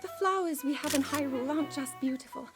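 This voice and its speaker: An actress playing an earnest young princess who lectures about nature and research in a game cutscene. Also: female voice, high-pitched, lightly acted. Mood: wistful. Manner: earnest, lecturing, quick to excitement. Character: young adult princess, earnest scholarly type. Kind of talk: video game cutscene acting. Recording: game audio with soft music.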